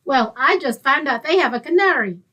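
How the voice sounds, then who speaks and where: A woman's voice: high voice, expressive, a little fast, bright, forceful tone, highs cut off. A woman playing a strict, indignant landlady with a stern manner in an old-time radio comedy.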